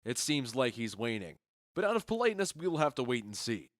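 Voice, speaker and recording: An actor playing a witty, indulgent duke who comments wryly in a comic audio drama. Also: male voice, medium pitch, big pitch swings, fairly quick, no room sound, clean studio sound, close to an everyday voice. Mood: wry, amused. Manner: wry, amused commentary. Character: witty, indulgent duke watching an amateur play. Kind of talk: modernized Shakespeare audio drama comedy.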